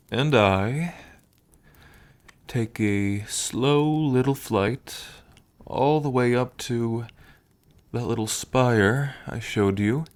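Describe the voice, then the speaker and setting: Guy doing a smitten dragon king for asmr roleplay, kinda deep, really slow and teasing, murmury pauses. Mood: romantic, playful. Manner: unhurried, murmuring pauses, hums and soft chuckles.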